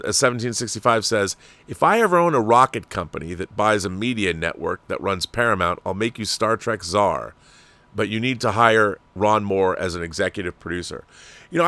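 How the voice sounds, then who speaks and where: A man with an American accent and a fairly low voice hosts a livestream. He comments in a casual, discursive way, sharing opinions as if thinking aloud to his viewers. The sound is very dry, with no room reflections. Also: bright, forceful tone, no room sound. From Washington state, United States. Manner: conversational and discursive, with rhetorical questions. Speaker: livestream host.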